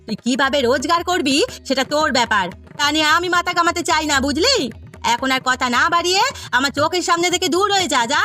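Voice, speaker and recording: A woman voicing a stern middle-aged village housewife in a cartoon, with a sharp, imperious, scolding manner. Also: sits high, fast, faint music behind. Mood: furious. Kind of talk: Bengali cartoon story voice acting.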